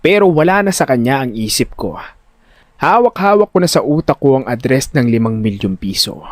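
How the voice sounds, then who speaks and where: A man narrating a first-person audio drama in an expressive, suspenseful, scripted storytelling style. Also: medium pitch, normal speed, dry recording, clean studio sound.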